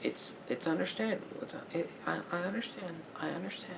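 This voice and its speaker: A man playing a sympathetic therapist in an ASMR roleplay, giving gentle advice in a halting, repetitive, hedging way. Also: high voice, animated, gravelly, background noise. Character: calm, sympathetic male therapist counselling a client.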